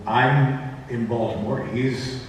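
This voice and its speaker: A man's voice: medium pitch, steady tone, takes it slowly. Man on a panel in an echoey hall. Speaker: panelist, audio drama director and actor. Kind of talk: casual panel discussion. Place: convention panel room. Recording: echoey hall, distant mic, faint noise.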